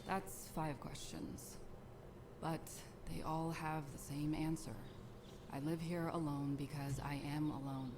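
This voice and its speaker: A guarded warrior who lives alone, in an animated tale. She speaks low, in terse and reserved phrases. There is clear background noise around the voice. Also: measured pace. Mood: sombre, reserved. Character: guarded lone warrior woman, weary protector of villagers. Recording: noisy ambience behind the voice.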